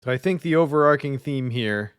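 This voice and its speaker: A man hosting a podcast in casual chat, animated and playfully opinionated. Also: mid-range voice, brisk, clean tone, no echo, clean studio sound. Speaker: podcast host.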